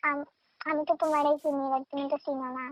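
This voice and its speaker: A woman's voice: high-pitched, level tone, quick pace. A woman, calling on a muffled phone line and chatting in a pleading, insistent way. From Tripura, India. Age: 20s. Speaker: caller. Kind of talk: casual phone chat.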